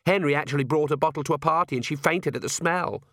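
A man's voice: on the high side, fast talker, sharp tone, no room sound, clean studio sound, pitched above the performer's own voice. Sullen vampire daughter, played by a male narrator, weary and grudging, for a comic storybook, dryly recorded. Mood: sulky. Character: sulky, theatrically weary young female vampire, the Count's daughter.

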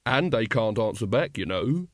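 A man's voice: on the low side, lively intonation, normal speed, no room sound, studio quality, lightly acted. A man voicing a stolid, ponderous royalist know-all, lecturing in an audiobook. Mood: knowing, self-satisfied.

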